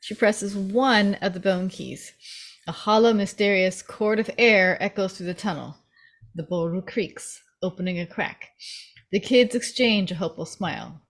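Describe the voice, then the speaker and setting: A woman narrates a screenplay, reading stage directions aloud for a table read. Her voice sits at a medium pitch in a scripted storytelling style. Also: measured pace.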